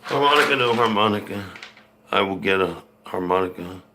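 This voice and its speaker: A man's voice: lowish voice, animated, brisk, recorded from a distance, noisy background. A man with a light Southern accent, chatting loosely to viewers during his own music and painting show, trailing off and restarting as he talks. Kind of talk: rambling casual chat to viewers. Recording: echoey room, voice a bit off-mic, guitar music.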